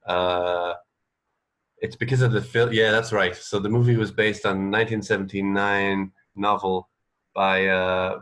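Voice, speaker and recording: A man with a fairly low voice co-hosts a casual radio show. He reads out bits of trivia haltingly, pausing and restarting as he thinks aloud. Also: fairly bright tone. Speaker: radio co-host. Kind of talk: casual banter, reading out trivia.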